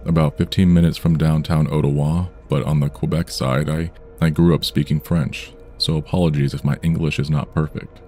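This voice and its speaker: A man reading true scary stories aloud in a measured, somber storytelling delivery. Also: rumbling low, flat delivery, darkish tone, faint music behind. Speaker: horror story narrator. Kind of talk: scripted true-crime horror narration.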